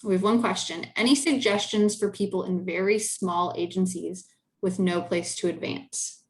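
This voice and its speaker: A woman's voice: lowish voice, fairly even intonation, moderate pace, a little mellow, low-quality recording. A woman moderating an informal online panel discussion, chatting casually with many fillers and restarts over a video call. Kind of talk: casual virtual panel chat.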